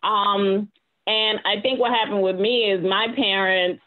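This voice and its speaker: A middle-aged woman from New Jersey on a video call, telling a personal story in an animated way. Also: measured pace. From United States, New Jersey. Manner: animated storytelling, mock quotes with big pitch jumps. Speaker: panelist, business owner. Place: home room, indoors. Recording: tinny phone-like call audio.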